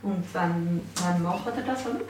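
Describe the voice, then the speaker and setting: A woman's voice: fairly low pitch, moderate pace, a little mellow, echoey room, a little hiss or hum. A woman with a Swiss German accent, interviewing casually with short, curious questions.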